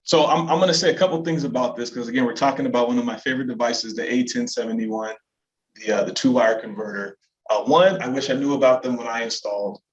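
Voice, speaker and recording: A man's voice: medium pitch, steady tone, quick pace. Enthusiastic man, slightly muffled sound. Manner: enthusiastic, emphatic. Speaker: manufacturer's product representative. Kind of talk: casual webinar product talk.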